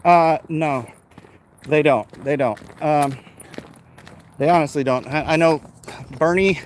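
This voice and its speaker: A man in his forties chatting casually and haltingly as a livestream host while walking outside, talking into a handheld phone. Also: a little high. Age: 40s. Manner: rambling, halting, breaking off to talk to people with him. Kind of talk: casual livestream chat while walking outdoors, reading viewer comments aloud. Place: outdoors, walking along a street. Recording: outdoor street noise on phone.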